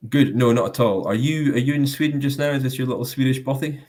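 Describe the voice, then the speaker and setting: A man hosting a live whisky tasting in a casual, self-deprecating way, often pausing with ums. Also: medium pitch, a little mellow.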